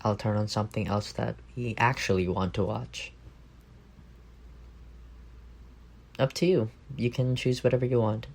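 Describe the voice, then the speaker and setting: Male actor as tender, playful roommate secretly in love, intimate ASMR roleplay; low register with plenty of fry. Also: steady tone, fairly quick. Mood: easygoing. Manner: playful, gently teasing, tender. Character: affectionate, teasing young roommate quietly in love.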